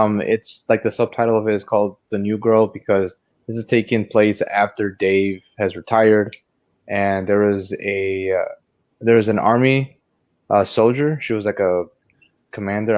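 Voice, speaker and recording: A man's voice: fairly low pitch, a little mellow. A man co-hosting a podcast, talking through a film review in a casual, rambling, digressive way. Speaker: podcast co-host.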